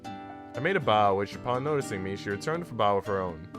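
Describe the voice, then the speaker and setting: Low-voiced male streamer over guitar music. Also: lively intonation, a little fast. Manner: slangy, joking, swears casually. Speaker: live streamer. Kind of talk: casual stream chat and reading a visual novel aloud. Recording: music playing behind the voice. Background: plucked guitar music.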